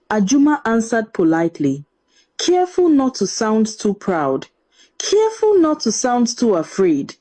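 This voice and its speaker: A woman's voice: mid-range voice, dark tone. A woman narrating a scripted folktale as a dramatic storyteller.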